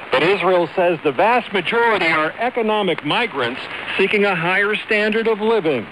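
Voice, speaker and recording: A man reading a scripted news report over shortwave radio. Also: high-pitched. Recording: noisy hissing shortwave radio reception.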